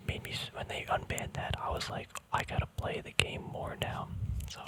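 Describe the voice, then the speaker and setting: A man speaking in a soft, unvoiced whisper, relaxed and chatty, hosting a casual ASMR walkthrough. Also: moderate pace. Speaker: ASMR video host.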